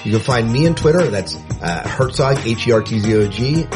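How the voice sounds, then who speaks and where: A man's voice: mid-range voice, expressive, fairly quick. A man hosting a podcast in a casual, conversational way. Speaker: podcast host. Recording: music bed behind voice. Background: music.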